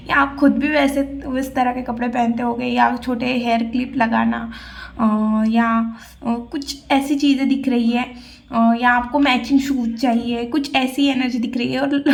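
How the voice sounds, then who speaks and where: A woman talking through a tarot reading in an easy, chatty way. Her voice sits a bit high but stays on a flat, narrow melody. She pauses and fills gaps with small hesitations as she goes. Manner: chatty, hesitant, many fillers. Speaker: tarot reader. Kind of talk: casual tarot reading to camera.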